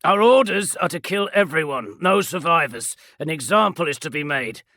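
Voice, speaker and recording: A man's voice: a little high, expressive, moderate pace, pushed and bright, no room sound, pitched above the performer's own voice, heavily acted. A man voicing a grim armoured space marine sergeant with a grating, powerful voice that barks curt orders. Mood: commanding.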